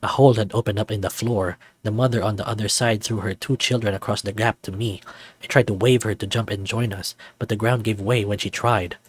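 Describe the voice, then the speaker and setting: A man playing a caring young firefighter in a visual novel, speaking in an earnest, gentle, reflective way. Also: lowish voice, fairly even intonation, a little fast, slightly rough, no room sound. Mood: somber, reflective. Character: caring young male firefighter, steady and sincere.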